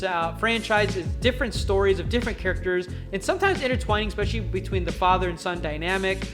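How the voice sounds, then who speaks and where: An enthusiastic, chatty video host giving casual, emphatic film commentary in a countdown format. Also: medium pitch. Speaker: YouTube host reviewing films. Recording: music playing behind the voice. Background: background music.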